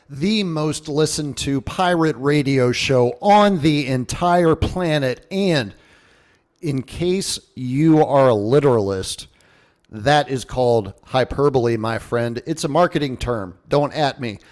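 A man hosting a radio show with a casual monologue, showman-like and given to wry asides. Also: mid-range voice.

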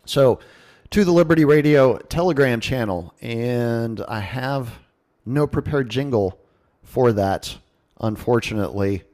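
A man's voice: mid-range voice, darkish tone. A man hosting a radio show in a casual, conversational and jokey way, talking as if straight to his listeners.

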